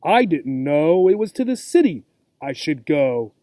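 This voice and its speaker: A man's voice: a little high, very animated, measured pace, mellow, no echo, voice pushed down. A man voicing a polite, eager mother kangaroo from a children's storybook, pleading and full of wonder. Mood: singsong.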